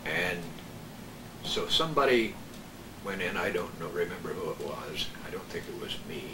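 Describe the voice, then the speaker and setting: Deep-voiced older man in a roomy, noisy recording. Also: lively intonation. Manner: halting, hesitant storytelling. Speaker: veteran local radio broadcaster. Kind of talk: casual reminiscing, anecdote telling. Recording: roomy, distant mic, noisy background.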